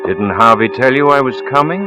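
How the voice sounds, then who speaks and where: A man's voice: mid-range voice, brisk, over music, close to an everyday voice, poor audio. An actor playing a questioning male visitor in a 1940s radio suspense drama, on a vintage recording. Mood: pointed, insistent.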